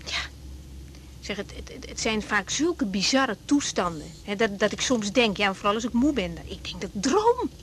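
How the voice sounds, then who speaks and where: A woman's voice: mid-range voice, big pitch swings, normal speed, a bit bright, a little hiss or hum. An actress playing a frank young woman doctor in a naturalistic Dutch radio drama, hesitant and informal in her speech. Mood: animated, confiding. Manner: hesitant, repeating words, informal. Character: young woman doctor, frank, caring but easily thrown.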